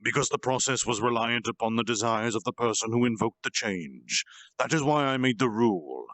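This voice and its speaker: A man voicing a gentle, earnest slime monster leader who was once human, speaking in a solemn, halting confessional way for a storytime reading. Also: mid-range voice, lively intonation, no echo. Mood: solemn, remorseful.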